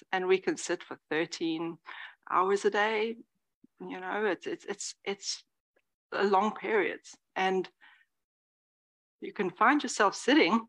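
Lively, slightly low-voiced woman teacher. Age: 40s. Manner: lively, conversational, with soft whispered asides. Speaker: Zen teacher giving a dharma talk. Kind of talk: dharma talk. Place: meditation hall before a live audience.

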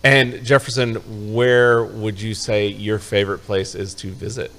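A man hosts a live show in an easygoing, chatty way. His voice sits on the low side. He is from upstate New York and keeps the talk loose and friendly. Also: level tone, a little slow, a bit bright. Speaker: show host. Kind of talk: casual live show chat.

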